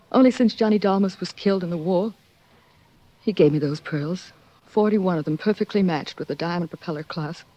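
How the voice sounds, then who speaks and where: A woman's voice: fairly low pitch, mellow, lightly acted. Woman acting wistful, troubled 1940s radio dame. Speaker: actor in a radio drama. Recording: dull 1940s radio recording.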